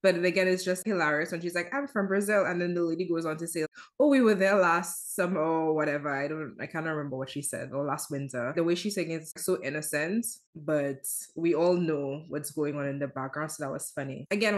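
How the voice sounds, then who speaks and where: Female podcast host, deep voice, animated amused storyteller. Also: fairly quick. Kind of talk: casual chat.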